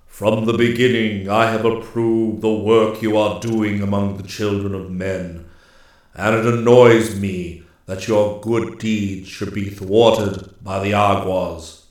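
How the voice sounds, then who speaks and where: A man's voice: lowish voice, fairly even intonation, darkish tone, very echoey. Man voicing a stately, commanding ancient immortal woodsman. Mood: grave, approving. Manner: stern, stately, deliberate pronouncements. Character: grave, commanding ancient immortal master woodsman, bearded male.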